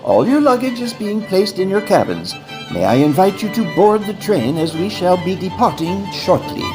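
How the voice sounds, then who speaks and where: A woman's voice: mid-range voice, sing-song, moderate pace, close to an everyday voice. A woman playing a showy opera company manager in a period farce, making grand, formal announcements. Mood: brisk, formal. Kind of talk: period audio drama farce. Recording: music behind the voice.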